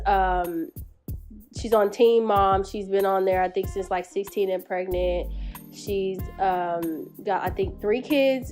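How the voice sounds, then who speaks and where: A woman's voice: mid-range voice, darkish tone. A woman YouTuber with a stuffy nose from a cold, chatting casually to her viewers, rambling with lots of fillers and restarts. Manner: rambling, with many fillers and self-corrections. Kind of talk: casual commentary vlog.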